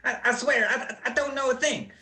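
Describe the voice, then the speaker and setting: A woman's voice: high-pitched, fairly quick, bright, forceful tone, faint music behind. A woman playing a nervous male stool pigeon in a radio crime drama, stammering, slangy and pleading. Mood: nervous, evasive. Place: recorded at home over a video call.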